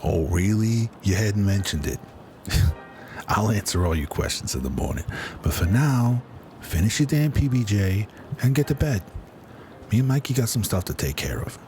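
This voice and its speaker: A man playing a gruff, blunt, exasperated single father in a sci-fi audio drama. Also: on the low side, expressive, normal speed, darkish tone, faint music behind. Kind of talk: amateur radio drama acting.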